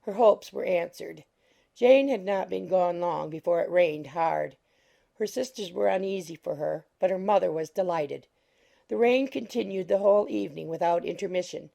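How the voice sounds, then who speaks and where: A woman's voice: lowish voice, normal speed, gravelly, mellow. A woman narrating a literary audiobook from a script.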